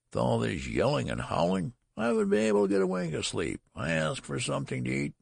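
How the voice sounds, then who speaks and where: A shrill, cracked old man from a storybook, whining and complaining. The voice leaps about in pitch and sounds aged and querulous. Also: wildly swooping pitch, brisk, creaky, no echo, studio quality, full character voice. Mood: whining protest. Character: querulous old man who is a newborn baby. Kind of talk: audiobook character voice.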